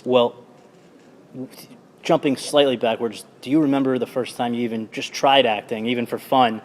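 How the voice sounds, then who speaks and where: Interviewer asking rambling questions. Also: male voice, mid-range voice, fairly even intonation, normal speed, a little hiss or hum. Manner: friendly, brief affirmations, hedged wordy questions. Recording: long hall reverb.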